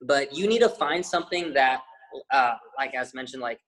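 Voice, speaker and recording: A man's voice: medium pitch, animated, moderate pace, rough recording. A young man, a fitness trainer, chatting casually as an interview guest, rambling with lots of fillers and restarts. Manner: says he has a loud voice.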